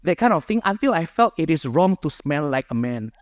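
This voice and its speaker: A man's voice: on the high side, big pitch swings, a little fast, no echo, clean studio sound. Earnest man at a microphone. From Indonesia. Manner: earnest, conversational, rephrases as he goes. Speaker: friar sharing his story with a group. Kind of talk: personal sharing talk to a small group.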